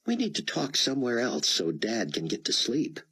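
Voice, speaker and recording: A man voicing a tearful, apologetic young woman in an audiobook, speaking softly and in near-whispers. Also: mid-range voice, huge pitch swings, moderate pace, breathy edge, voice pushed up, full character voice. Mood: teasing. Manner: soft, whispery, teary. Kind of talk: audiobook character voice.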